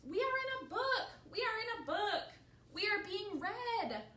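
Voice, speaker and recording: A woman's voice: extremely high pitch, very animated, a little slow, sharp tone, pitched above the performer's own voice, exaggerated voice. A woman voicing a cheerful, eager little pig from a children's picture book, bouncy and exclaiming. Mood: excited. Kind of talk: children's storybook read aloud. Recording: roomy, distant mic, faint noise.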